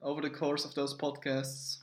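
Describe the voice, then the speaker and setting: A man's voice: a little high, monotone, normal speed. A man from Switzerland with a Swiss accent in English, co-hosting a casual podcast in a teasing, playful way. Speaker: podcast co-host. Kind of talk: casual, joking podcast chat. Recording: roomy, echoey indoor sound.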